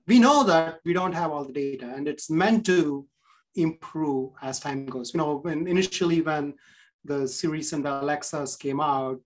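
A man's voice: a little high, moderate pace, rough recording. Man, Indian accent, panel answer, careful and explanatory, video call. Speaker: panelist, company CEO. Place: room with bookshelves.

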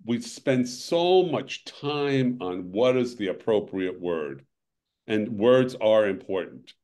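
A man's voice: on the low side, animated, a little mellow. Weary older man hosting a video call. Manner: halting, restarts phrases, weary. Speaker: webinar host. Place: home room, indoors.

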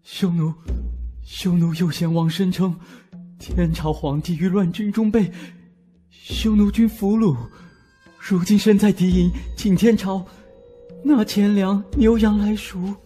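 Anxious, formal herald for period drama male actor, urgent delivery in a high voice. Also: steady tone, slow pace, warm tone, long reverb tail, faint music behind. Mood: fearful, urgent. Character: anxious messenger delivering dire news to court. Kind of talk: Chinese period audio drama acting.

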